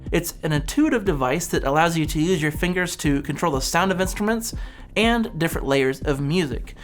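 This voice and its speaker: A man's voice: a little high, brisk, soft background music. A man presenting an instructional product demonstration, explaining things step by step.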